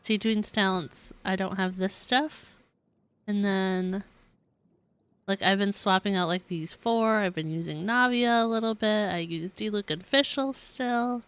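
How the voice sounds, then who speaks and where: A woman's voice: mid-range voice, a little slow, a bit bright, clear, resonant tone, no room sound. A woman streaming a video game and chatting casually with viewers in a rambling, giggly, filler-heavy way. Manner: rambling, giggly, hedging with frequent "like". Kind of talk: casual live-stream chat while playing a game. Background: game music.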